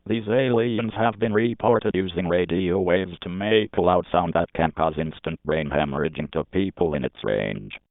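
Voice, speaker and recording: A man reading a scripted emergency alert in a flat, formal, mechanical manner as an announcer. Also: low-pitched, normal speed, few pauses, no room sound. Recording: tinny, phone-like alert broadcast audio.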